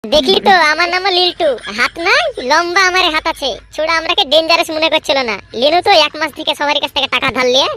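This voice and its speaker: A woman's voice: high voice, fast, a crowd in the background, close to an everyday voice. A woman voicing a sly, swaggering young troublemaker boy in a comedy cartoon, cocky and dismissive. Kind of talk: Bengali comedy cartoon voice acting.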